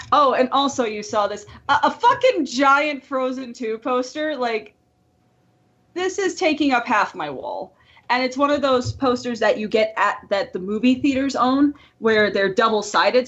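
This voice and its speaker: A woman co-hosting a casual podcast, talking in an animated, playful way and swearing freely. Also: on the high side, moderate pace. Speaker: podcast co-host. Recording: dull lo-fi voice-call audio.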